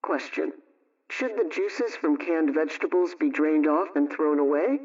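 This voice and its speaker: A man reading scripted household advice and recipes in a measured, instructional way, like a 1920s radio programme host. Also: on the high side, darkish tone. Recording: dull, distant vintage radio sound.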